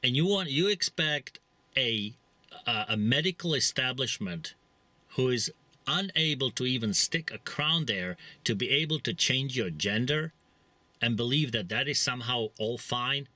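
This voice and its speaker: A man's voice: mid-range voice, lively intonation, measured pace, sharp tone, dry recording. A middle-aged man with a Czech accent, talking to camera from inside a parked vehicle in a blunt, rambling, preachy way with many fillers. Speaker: vlogger. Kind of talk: casual monologue to camera. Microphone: camera mounted close in front.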